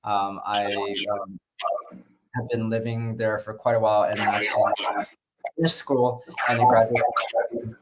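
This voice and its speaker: A man from Canada talking casually and haltingly as an interview guest, through a laptop on a video call. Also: lowish voice, level tone, heavy background noise. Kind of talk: casual self-introduction and chat.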